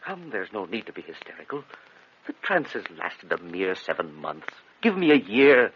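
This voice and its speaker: An actor playing a self-assured gentleman mesmerist in a gothic radio drama, speaking formally and theatrically with condescension. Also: on the low side, sing-song, normal speed, breathy edge, heavily acted. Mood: smooth, placating. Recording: dull, noisy vintage-style radio recording.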